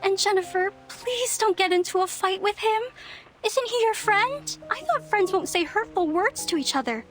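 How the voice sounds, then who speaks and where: A woman voicing a sweet, naive and earnest village girl who speaks gently and politely, in a video game drama. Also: very high voice, lively intonation, moderate pace, soft background music. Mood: gently pleading.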